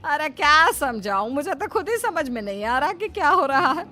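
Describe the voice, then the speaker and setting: A woman's voice: high-pitched, very animated, normal speed, pushed and bright, pitched above the performer's own voice, full character voice. A woman voicing a possessive, ailing old mother-in-law who complains theatrically in a cartoon family drama. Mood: aggrieved, bewildered.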